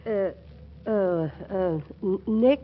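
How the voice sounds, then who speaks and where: An actress playing a genteel, flustered older mother, halting and fluttery, in 1940s radio comedy style. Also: medium pitch. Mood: shocked, hesitant. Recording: dull 1940s radio recording.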